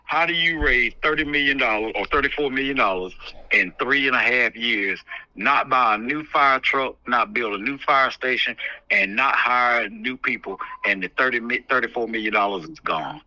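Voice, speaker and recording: A man's voice: mid-range voice, moderate pace, pushed and bright, no echo. A man giving candid, emphatic advice on a phone call, repeating phrases to drive his point home. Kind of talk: candid phone conversation, advising. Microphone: telephone. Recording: muffled, band-limited phone call.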